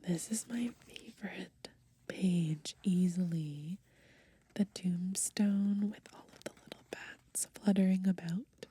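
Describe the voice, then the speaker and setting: A young woman doing soft-spoken ASMR in a gentle, unhurried, breathy whisper, recorded close to the microphone outdoors. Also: on the low side. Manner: gentle, unhurried, softly murmured. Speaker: ASMR video host. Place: outdoors on grass in light wind.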